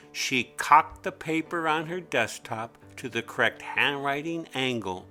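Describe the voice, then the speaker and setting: Animated, unhurried man reading a story. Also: mid-range voice. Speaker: author narrating his own children's story. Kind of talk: scripted children's story narration.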